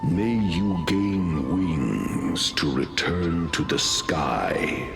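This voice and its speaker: An actor playing a grave, ancient male ancestral spirit and judge in a video game, deep and gravelly, speaking formally with great weight. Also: expressive, very slow, heavily acted. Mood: solemn blessing. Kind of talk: scripted video game voice acting. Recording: game audio with background music. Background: music.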